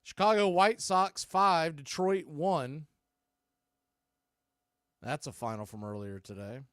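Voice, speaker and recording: A man doing live radio-style baseball play-by-play as the announcer, making clipped pitch calls in short phrases. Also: takes it slowly, fairly bright tone, clear, resonant tone, dry recording, clean studio sound.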